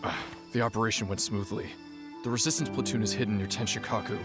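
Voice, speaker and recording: A man's voice: lowish voice, steady tone, fast, close to an everyday voice. An actor playing a worried, deferential male retainer in an anime game, speaking hesitantly to his lord. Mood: anxious, deferential. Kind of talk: anime game trailer acting. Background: very loud trailer music.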